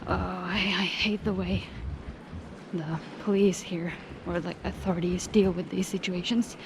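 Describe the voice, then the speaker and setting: A woman's voice: lowish voice, fairly even intonation, unhurried. Sarcastic woman vlogging in a noisy airport. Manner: chatty, sarcastic, swears freely. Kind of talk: casual travel vlog monologue. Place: airport terminal.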